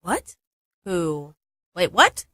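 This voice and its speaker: A woman playing a shy, anxious and earnest young lover in a modern radio drama, hesitant yet expressive. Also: fairly low pitch, extremely slow, no echo, studio quality, full character voice. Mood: guilty. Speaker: radio play actor.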